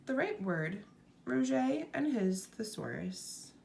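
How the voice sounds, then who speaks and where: A woman reads a storybook aloud as its narrator. Her pitch moves in lively swoops, and her voice often drops into a creaky fry. The delivery is scripted and expressive. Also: takes it slowly, slight background noise.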